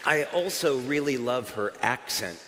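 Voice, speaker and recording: A man's voice: medium pitch, expressive, a little slow, background noise. An American man giving a live stage presentation at a company event, chatty and upbeat with fillers and restarts. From United States. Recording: reverberant hall sound.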